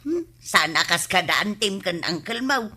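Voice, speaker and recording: A woman's voice: medium pitch, expressive, unhurried, sharp tone, husky, heavily acted. A woman playing a cheeky, thrifty village neighbor in an Ilocano comedy radio drama, exclaiming and drawing out her banter in an Ilocano accent. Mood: breezy, scheming.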